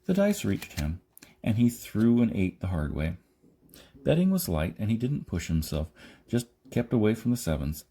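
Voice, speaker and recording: Male audiobook narrator for science fiction, husky and animated in pitch, slightly halting read. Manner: halting, stumbles and rereads phrases. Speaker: audiobook reader.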